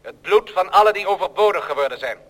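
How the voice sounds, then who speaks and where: A man's voice: sits high, animated, fast talker, fairly bright tone. A man playing a coldly menacing machine in an old radio drama, declaiming ominously. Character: machine producing plates, coldly menacing, prophesying domination. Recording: long reverberant hall.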